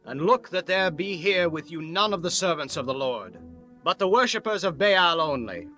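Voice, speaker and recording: A man's voice: fairly high pitch, expressive, moderate pace, pushed and bright, soft background music. A man playing a ruthless warrior king in a dramatized scripture audiobook, declaiming orders imperiously. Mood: imperious, menacing.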